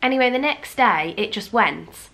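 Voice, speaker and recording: A woman's voice: on the high side, expressive, normal speed, vocal fry, fairly bright tone. A young woman vlogger from England chatting candidly to camera, with a blocked, fluey nose and lots of fillers and restarts. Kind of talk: casual chat to camera.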